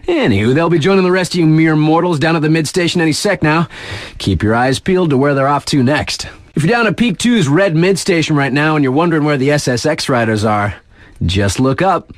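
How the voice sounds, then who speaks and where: A man acting as a laid-back young radio DJ at a snowboard resort in a video game. He makes casual, slangy announcements with wry, playful deadpan humor. His pitch swings freely, and the sound is dry, with no room to it. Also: a little fast, no echo, lightly acted.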